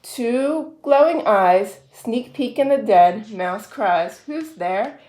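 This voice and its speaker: A woman reading picture books aloud to a young child as a storyteller, pausing to explain things simply. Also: fairly high pitch, expressive, darkish tone. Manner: simple explanations to a child between read passages.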